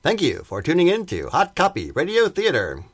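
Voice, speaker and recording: A man's voice: on the high side, huge pitch swings, normal speed, husky. A man working as a radio drama announcer, reading scripted credits in a formal, theatrical manner.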